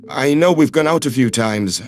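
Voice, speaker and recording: A man's voice: mid-range voice, voice pushed down, natural voice. A man voicing an earnest, lovestruck human ambassador in a sci-fi audio drama, speaking in a heartfelt, pleading way. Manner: pleading, heartfelt, occasional swearing.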